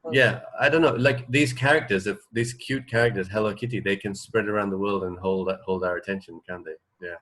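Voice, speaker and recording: Male co-host, middle-aged, lower voice, loose conversational delivery that feels its way through each thought. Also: fairly even intonation, fairly bright tone. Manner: tentative, halting, thinking aloud. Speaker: radio show co-host. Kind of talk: casual chat.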